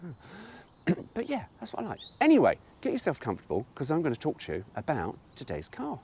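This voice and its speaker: A British man reads aloud in a relaxed storytime manner and keeps stopping for wry comments of his own. His pitch jumps around a lot, from low mutters to high, bright exclamations. He sounds like a regular host talking to his viewers. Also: brisk, a little hiss or hum. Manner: chatty, wry asides, laughs at own jokes. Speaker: host reading a car brochure. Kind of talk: casual storytime reading.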